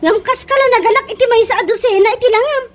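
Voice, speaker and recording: A woman with an Ilocano accent playing a vain, sharp-tongued mother in a comedy radio drama, sassy and boastful. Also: very high-pitched, quick pace. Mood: boastful, taunting. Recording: noisy home-made radio drama recording.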